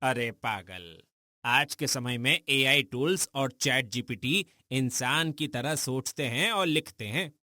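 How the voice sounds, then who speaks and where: Guy playing a brash upbeat young pal in a cartoon, slow punchy bright voice, teasing. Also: medium pitch, expressive, no room sound, clean studio sound, heavily acted. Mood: persuasive. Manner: colloquial, teasing. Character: brash, upbeat young buddy giving advice.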